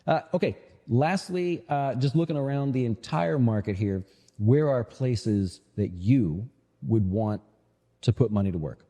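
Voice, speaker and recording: A man's voice: medium pitch, animated, measured pace, dark tone, highs cut off. A man hosting a live financial show, giving casual, conversational market commentary peppered with rhetorical check-in questions, his voice echoing. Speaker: financial show host, investment manager. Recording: echoey hall-like reverb on voice.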